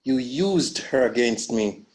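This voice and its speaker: A grim, grieving father bent on revenge, read by a man. He speaks in short, brooding lines with a mid-pitched voice, in the manner of a thriller drama read aloud. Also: lively intonation, slow, darkish tone. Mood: menacing. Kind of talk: dramatic script table read.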